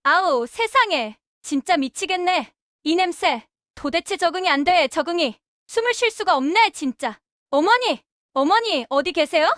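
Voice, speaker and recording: A squeamish, self-satisfied young daughter-in-law, acted by a woman in a Korean drama. Her voice is extremely high and chatty, recorded dry and close. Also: expressive, normal speed, a bit bright, dry recording. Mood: disgusted, irritated. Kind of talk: audio drama acting.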